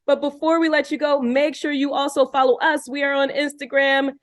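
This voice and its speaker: A woman's voice: high voice, level tone, brisk, no echo. Upbeat woman podcast host, dry sound. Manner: upbeat, laughs often. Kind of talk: casual interview chat. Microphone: video call.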